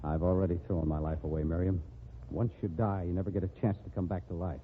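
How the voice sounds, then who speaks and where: A man's voice: sits low, fairly even intonation, quick pace, a little hiss or hum, natural voice. A man playing a bitter, disgraced man on old radio. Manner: bitter, wry, self-mocking. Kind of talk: 1940s radio acting. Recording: tinny old radio broadcast.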